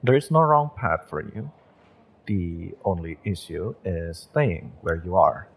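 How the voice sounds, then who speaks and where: A man playing a gentle, encouraging magick shop owner and tarot reader in an intimate audio roleplay, speaking in a measured, mystical, reassuring way. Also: low voice, creaky, slightly rough, full character voice.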